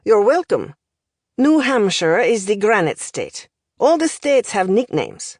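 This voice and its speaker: Woman putting on a lively older professor. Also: mid-range voice, a little slow, no echo, clean studio sound, voice pushed up, heavily acted. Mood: chatty, proud. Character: older male Romanian language professor, opinionated, smoking, encouraging.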